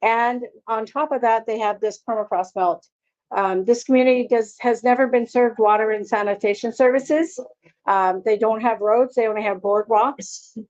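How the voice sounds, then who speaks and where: A woman presents on a conference panel, speaking earnestly but haltingly, with frequent fillers and restarts. Her voice sits on the higher side. The recording is dull and lo-fi. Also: steady tone, a little mellow. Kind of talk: conference talk.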